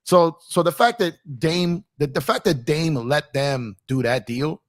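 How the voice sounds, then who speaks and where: A man's voice: a little high, a little slow, dry recording. American man chatting sports, dry close sound. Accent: American with a touch of New York. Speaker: sports talk show host. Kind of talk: casual sports chat on a livestream.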